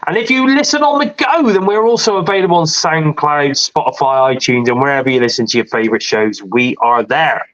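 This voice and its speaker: A man's voice: fairly high pitch, a little fast, hardly any pauses, a bit bright, lo-fi sound. Congested man hosting a podcast. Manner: rambling, chatty, many fillers. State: congested with a cold. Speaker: podcast host. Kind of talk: casual podcast chat.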